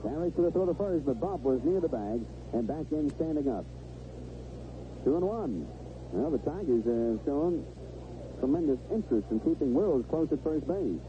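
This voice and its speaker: A man calling baseball play-by-play live on the radio in clipped phrases, describing each play as it happens. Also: a little high. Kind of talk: live radio sports commentary. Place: stadium radio booth. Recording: noisy dull 1970s AM radio broadcast.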